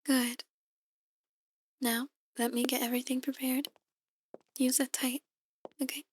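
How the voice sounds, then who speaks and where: A woman playing a soft-spoken, ominous demon tarot reader in an ASMR roleplay, speaking in a measured, breathy near-whisper. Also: sits high, level tone, a little hiss or hum. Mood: calm, inviting. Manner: slow, measured, gently ominous. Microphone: close, dry microphone.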